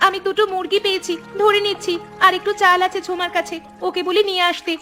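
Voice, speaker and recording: A woman's voice: very high-pitched, level tone, quick pace, sharp tone, faint music behind. A woman voicing a timid, anxious younger sister-in-law in a village cartoon, fretful and full of questions. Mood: alarmed. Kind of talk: cartoon voice acting.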